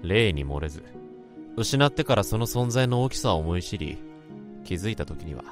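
An actor plays a brooding male office worker in a radio drama. His voice sits very low and stays restrained as he narrates in an introspective tone. Music plays clearly behind him. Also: animated, normal speed. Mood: melancholy. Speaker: narrator and lead.

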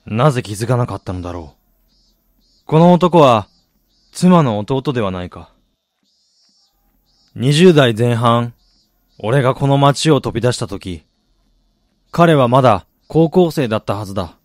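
An actor playing a weary middle-aged failed businessman, narrating his regretful past in a measured, reflective way for a radio drama. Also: mid-range voice, animated, no echo, clean studio sound, lightly acted. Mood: uneasy, reflective. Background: music.

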